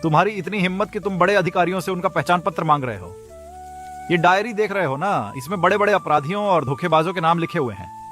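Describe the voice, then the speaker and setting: High-voiced blustering con-man crook played by an actor. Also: lively intonation, fast talker, fairly bright tone, soft background music. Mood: menacing. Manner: threatening, exaggerated. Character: blustering con-man thief posing as an official. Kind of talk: Hindi cartoon moral-story voice acting.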